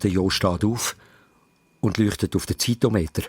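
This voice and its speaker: A man's voice: on the low side, normal speed, a little mellow, breathy edge. A man with a Swiss German accent narrating a children's story dramatically, in short, suspenseful phrases. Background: music.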